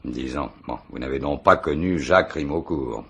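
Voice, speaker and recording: A man's voice: rumbling low, moderate pace, vocal fry, fairly bright tone, husky, exaggerated voice. An actor playing a dogged, falsely casual police commissioner in a French radio mystery, muttering and repeating himself as he probes. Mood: coaxing, probing. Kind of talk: French radio play acting.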